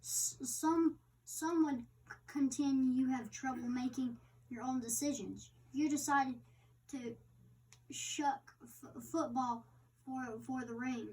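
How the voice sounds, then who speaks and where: A woman with a high voice reads the interviewer's side of a printed interview. Her delivery is halting, with stumbles over words. She sounds some distance away from the mic. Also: darkish tone. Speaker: interviewer in a read-aloud interview. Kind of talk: reading a printed interview aloud. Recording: distant microphone.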